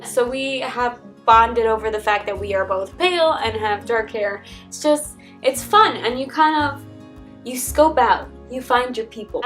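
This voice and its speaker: A young American woman chatting casually to camera as a vlogger, with an animated way of telling personal stories. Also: on the high side. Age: young adult. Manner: animated, acts out quoted conversations. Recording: distant mic, background music. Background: acoustic folk instrumental music.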